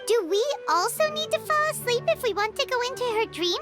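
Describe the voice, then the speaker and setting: A woman voicing a tiny, excitable childlike fairy companion in a video game, with a squeaky voice. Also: a little fast, music in the background. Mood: curious, puzzled. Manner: excitable, refers to herself in third person. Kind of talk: English video game voice acting.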